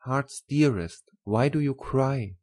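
Older German professor, much lowered voice, tender, earnest, formal, emphatic, storybook suitor. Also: female voice, slow, darkish tone, heavily acted. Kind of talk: audiobook character voice.

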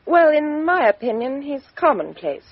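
An actress playing a composed, outspoken young Englishwoman with a crisp, frank upper-class delivery in a 1950s radio drama. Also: high-pitched, very animated, moderate pace, dry recording. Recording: dull 1950s radio recording.

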